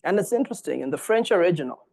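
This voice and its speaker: Earnest lecturer from Zimbabwe, fairly high voice. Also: male voice, expressive, very fast, vocal fry, darkish tone. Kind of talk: formal public lecture read from a text.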